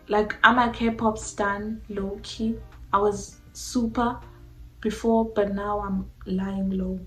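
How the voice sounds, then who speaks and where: Young woman, South African accent, Xhosa-Zulu mother tongue, informal vlog chat at a slow pace, music behind. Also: medium pitch, a bit bright. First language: a mixture of Xhosa and Zulu. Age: young adult. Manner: informal, uses "like" constantly. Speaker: YouTuber answering personal questions. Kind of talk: casual chatty question-and-answer vlog. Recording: echoey room with faint music.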